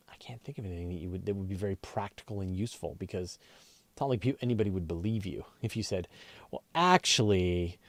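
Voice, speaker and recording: A man with a relaxed, dark-toned voice hosts a casual live question show. He explains things conversationally, working through his thoughts out loud. The sound is dry, with very little room around him. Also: lowish voice, no echo. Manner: conversational, explanatory, thinks aloud. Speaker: host of a space and astronomy question show.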